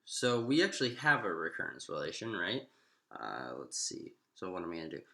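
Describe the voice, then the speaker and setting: A man teaches like an informal math instructor, thinking aloud as he goes. His pitch moves in lively swings and his tone is bright and forward. The sound is roomy, with clear echo around the voice. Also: fairly quick. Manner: explanatory, thinking aloud with self-posed questions. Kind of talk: informal math lecture, working through steps aloud.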